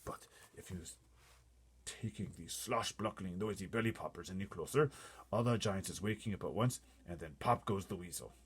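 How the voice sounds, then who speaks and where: A man voicing a gentle, calm giant in a storybook readaloud, speaking unhurriedly in quaint, muddled grammar. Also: low-pitched, slight background noise, lightly acted. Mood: cautious, hushed. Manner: hushed, unhurried, quaintly ungrammatical.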